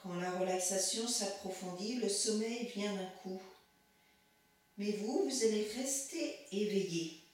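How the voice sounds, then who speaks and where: A woman leads a guided relaxation in a calm, measured manner. She speaks slowly, in short phrases. The recording is very echoey, with the microphone some distance from her. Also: medium pitch. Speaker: yoga nidra instructor.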